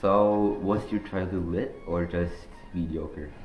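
A teenage boy from Norway hosting a joking school podcast, talking in deadpan, slangy banter. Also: low-pitched, slow pace, roomy sound, faint music behind. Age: teens. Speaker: podcast host. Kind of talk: joking student podcast interview.

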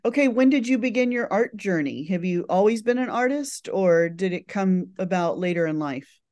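Woman podcast host, warm mellow tone, lots of little yeahs and wows. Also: medium pitch, expressive, moderate pace. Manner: encouraging, brief affirmations. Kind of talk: interview hosting.

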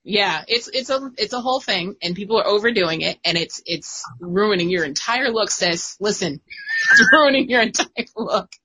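A woman co-hosting a podcast, chatting casually in a lively, sassy way and laughing often. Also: medium pitch, normal speed, a little hiss or hum, rough recording. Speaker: podcast co-host and writer. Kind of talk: casual chat.

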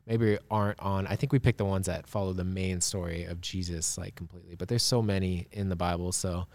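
A man in his twenties co-hosting a podcast, talking in a relaxed, rambling way with lots of fillers. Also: low voice, brisk, dry recording. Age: 20s. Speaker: podcast co-host. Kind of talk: casual chat.

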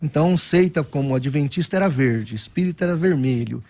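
A middle-aged man speaks as a guest pastor. His voice sits somewhat high and he tells his anecdotes with energy, often restarting his sentences. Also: fairly quick, faint music behind. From Brazil. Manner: animated, self-deprecating anecdotes with frequent restarts. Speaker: Baptist pastor. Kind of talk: storytelling interview answers.

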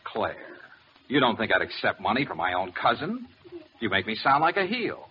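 Actor as flustered wheedling man, swooping pitch. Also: sing-song, normal speed, lightly acted. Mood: anxious, wheedling. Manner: stammering, wheedling, exasperated. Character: flustered fast-talking male private investigator, scheming and cajoling. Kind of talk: 1940s radio comedy acting. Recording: muffled, noisy old radio recording.

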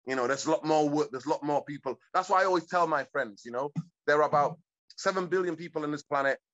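A man's voice: on the high side, quick pace, a bit bright, highs cut off. A man from East Africa talking reflectively in a casual conversation, rambling and often checking in with "you know what I mean". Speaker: guest.

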